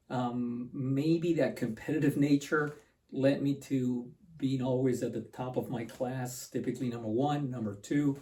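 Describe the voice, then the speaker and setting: A man's voice: medium pitch, mellow. A man from Peru, a native Spanish speaker, talks reflectively and informally to viewers, often repeating words as he goes. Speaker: patent attorney, video host. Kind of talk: informal explainer to camera, personal storytelling. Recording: distant from the microphone.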